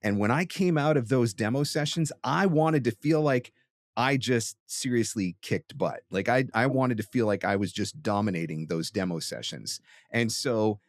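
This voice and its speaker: A man's voice: medium pitch, moderate pace, no room sound. A man hosting a talk and giving conversational coaching advice, emphatic and repeating phrases for stress. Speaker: host and voiceover coach answering a question.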